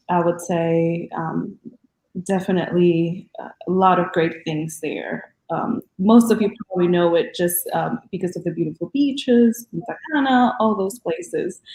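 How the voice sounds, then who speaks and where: A woman speaks about her work, earnest and dotted with ums. Her voice sits fairly low for a woman. The room around her rings noticeably. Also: darkish tone, reverberant, low-quality recording. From Dominican Republic. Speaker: physician guest. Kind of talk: podcast interview.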